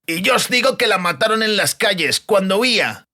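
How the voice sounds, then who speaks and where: A man's voice: high voice, animated, normal speed, pushed and bright, voice pushed up, heavily acted. A man voicing an insistent male war veteran in a fantasy audiobook, emphatic and argumentative.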